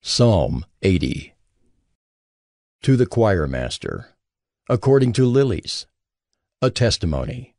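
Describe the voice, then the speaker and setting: A man formally announcing scripture headings in a measured, solemn manner. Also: fairly low pitch, sing-song, a little mellow, no room sound, studio quality. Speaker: announcer of psalm titles.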